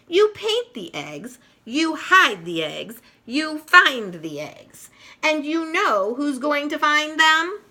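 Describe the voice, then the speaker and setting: Woman doing bossy little storybook girl, smug, scoffing, self-important, very bright, lively pitch. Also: slow pace, heavily acted.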